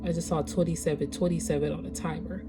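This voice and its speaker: Woman, lower register, congested and stuffy, easygoing card reader talking to her viewers, music bed underneath. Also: fairly even intonation, fast talker. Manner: casual, conversational, searching for words. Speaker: tarot reader. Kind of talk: spiritual reading for a collective audience.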